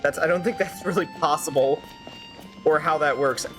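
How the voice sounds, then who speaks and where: A streamer giving casual, rambling game commentary in a mock-exasperated, animated way. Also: mid-range voice, moderate pace, soft background music, low-quality recording. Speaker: streamer fan-dubbing a game live.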